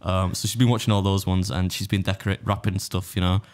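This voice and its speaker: English male podcast host, quick and jokey, slightly low register. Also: flat delivery. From England. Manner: rambling, jokey, quick to laugh at himself. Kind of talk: casual podcast chat. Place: podcast studio.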